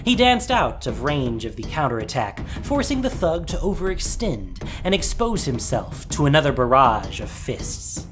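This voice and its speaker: Animated audio drama narrator. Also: medium pitch, moderate pace, hardly any pauses. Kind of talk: scripted dramatic story narration. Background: soft music.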